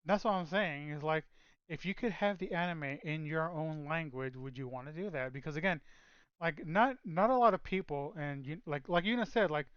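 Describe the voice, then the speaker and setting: Guy rambling on a stream chat, kinda high voice, lots of likes and restarts, dull dry mic. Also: moderate pace, fairly bright tone, clear, resonant tone, no echo. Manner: rambling, many fillers and restarts. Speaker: podcast co-host. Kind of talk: casual chat.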